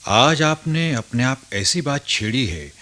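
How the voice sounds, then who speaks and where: A man acting a respectful, curious grandson in a Hindi radio drama, speaking and narrating in an earnest, deliberate way. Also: medium pitch, big pitch swings, sharp tone, heavily acted. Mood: hesitant, respectful. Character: respectful, curious grown grandson who narrates his memories.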